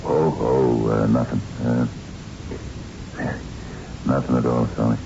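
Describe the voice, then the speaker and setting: An old gym regular in a radio play, with an extremely deep, creaky voice. He is halting and evasive, musing as he talks. The old broadcast is dull and clearly noisy. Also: level tone, heavily acted. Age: older. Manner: halting, hesitant. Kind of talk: radio drama acting.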